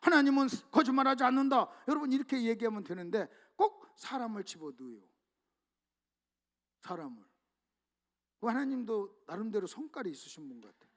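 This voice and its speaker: High gravelly-voiced male pastor preaching. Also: sing-song, a little fast, long pauses. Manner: leads congregation in call-and-response reading; swings between hushed asides and loud emphatic exclamations. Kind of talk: sermon at a revival meeting. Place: church sanctuary.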